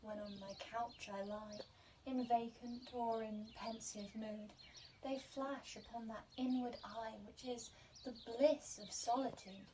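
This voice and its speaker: A woman gives a measured poetry recitation in a mellow, fairly high voice. She takes it slowly, and birds sing faintly behind her. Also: fairly even intonation. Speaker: poetry reader. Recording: slightly distant, faint noise with birdsong.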